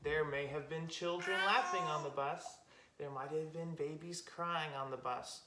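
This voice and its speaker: A woman's voice: low voice, fairly even intonation, a little slow, pushed and bright. A woman hosting storytime for young children, explaining things simply and directly as she talks and tells stories. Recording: roomy echo, music behind.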